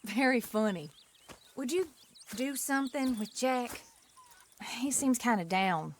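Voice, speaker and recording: A woman's voice: on the high side, lively intonation, takes it slowly, slight background noise. An actress playing a frank, worried young mother from an outlaw camp in a Western video game. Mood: pleading, frank.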